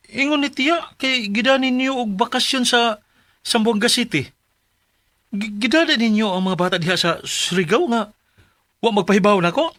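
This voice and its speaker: A man's voice: very high-pitched, sharp tone, exaggerated voice. An actor with a Cebuano Filipino accent playing a distraught rural father who pleads for his children in a radio drama. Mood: anguished, pleading. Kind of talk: Bisaya radio drama acting and letter narration.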